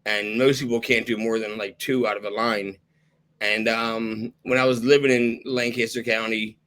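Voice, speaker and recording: A hoarse man who is losing his voice, hosting a podcast and chatting candidly in a loose, casual way. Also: mid-range voice, sharp tone. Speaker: podcast host.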